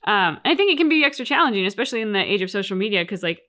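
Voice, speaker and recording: A woman's voice: mid-range voice, sing-song, racing, pushed and bright. Female reaction host, conversational and earnest, thoughtful casual discussion, natural mid-range voice. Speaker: host of a game reaction channel. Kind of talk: casual discussion of a game's story.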